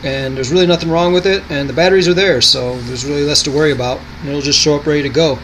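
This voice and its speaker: A man's voice: fairly high pitch, fairly even intonation, fast, fairly bright tone, slight background noise, rough recording. A man giving a casual product demonstration in an offhand, rambling way.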